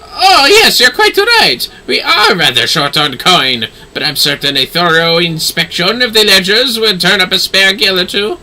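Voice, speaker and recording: A man's voice: sits high, expressive, normal speed, bright, forceful tone, heavily acted, poor audio. A man voicing an eloquent, effusive nobleman from a fantasy game, with florid, theatrical phrasing. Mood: gracious, effusive. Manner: florid, theatrical, formal speech. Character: flamboyant, eloquent nobleman, effusive patron of a workshop. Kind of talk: fantasy game dialogue read aloud.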